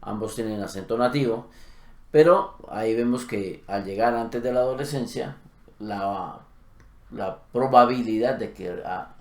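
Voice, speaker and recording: A middle-aged man co-hosting a casual conversation, stating his views calmly. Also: medium pitch, a little slow. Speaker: co-host of a language channel. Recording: roomy echo.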